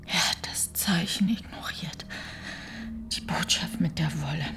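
An actress playing a frightened but calculating farmer's wife in a radio play, murmuring tensely and urgently in a hushed, breathy voice. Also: female voice, on the low side, measured pace, in a whisper, close to an everyday voice. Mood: urgent worry.

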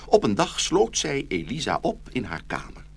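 A man's voice: mid-range voice, wildly swooping pitch, normal speed, gravelly, husky. A man narrating a fairy tale as a scripted storyteller.

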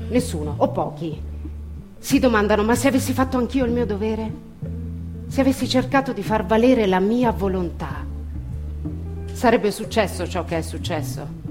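Woman, fairly high voice, theatrical monologue, emphatic delivery, deliberate pauses, music behind. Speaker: actor reading a political text. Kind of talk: theatrical dramatic monologue reading. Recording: music under the voice.

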